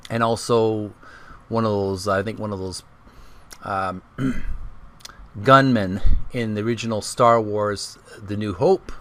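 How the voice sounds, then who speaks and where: A man hosting a video gaming channel, casually presenting and reading aloud in a halting, hesitant way. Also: on the low side, sharp tone, slight background noise. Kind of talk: casual vlog intro and reading aloud from a book.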